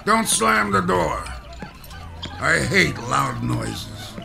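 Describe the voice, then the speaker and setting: An actor playing a gruff, impatient middle-aged fight promoter who talks curtly and bossily in a radio drama. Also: male voice, a little high, sing-song, extremely slow, over music, exaggerated voice. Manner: curt, bossy. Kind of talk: 1960s-style radio drama acting.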